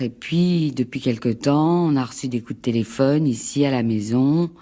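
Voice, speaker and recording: An actress with a French accent playing a frightened, exhausted housewife in her forties, anxious and halting, in a radio mystery drama. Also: extremely low pitch, gravelly, dark tone, husky, heavily acted. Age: 40s. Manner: anxious, halting, repeats herself. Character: frightened, exhausted middle-aged housewife, pleading and haunted.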